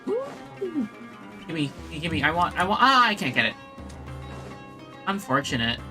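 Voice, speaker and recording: A woman's voice: fairly low pitch, huge pitch swings, a little slow. A woman streaming a game with casual, playful muttered reactions over game music.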